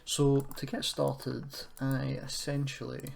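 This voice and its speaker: A man giving an informal software tutorial, thinking aloud in a hesitant, self-deprecating way as he goes. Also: medium pitch, monotone, takes it slowly, gravelly. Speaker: tutorial presenter. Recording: noisy room with keyboard clicking.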